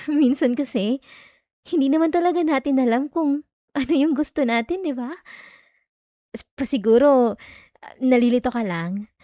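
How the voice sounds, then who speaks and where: A woman's voice: high voice, normal speed, pauses often, dark tone, no room sound, clean studio sound, natural voice. A woman with a Filipino accent playing an obsessive, possessive stalker in an intimate ASMR roleplay, coy, teasing and sweetly menacing. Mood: coaxing, possessive. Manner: coy, teasing, giggly, lingering over words.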